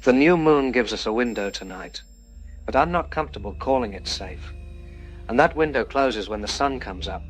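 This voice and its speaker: Male medical expert played by an actor: medium pitch, cautious, sober, measured interview answers over music. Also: lively intonation, slightly rough. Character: cautious, sober male doctor advising the public. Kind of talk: scripted news interview acting.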